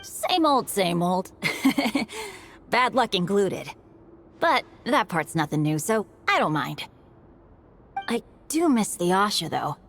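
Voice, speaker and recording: A woman's voice: mid-range voice, sing-song, takes it slowly, a bit bright, slight background noise, exaggerated voice. A woman voicing an earnest, good-natured, unlucky teenage boy adventurer in a video game, with a boyish voice. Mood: accepting, wistful.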